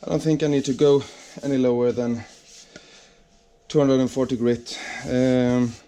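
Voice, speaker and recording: A Swedish man, a hobby woodworker speaking English as a second language, chatting casually in his workshop and pausing to search for words. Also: mid-range voice, steady tone, warm tone, clean tone. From Sweden.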